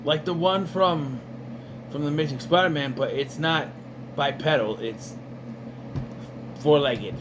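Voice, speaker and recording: Guy rambling on about a cartoon, sarcastic, kinda high voice, air conditioner humming behind him. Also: a little slow, long pauses, noisy background. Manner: rambling, sarcastic, many fillers. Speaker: video reviewer. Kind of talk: casual commentary.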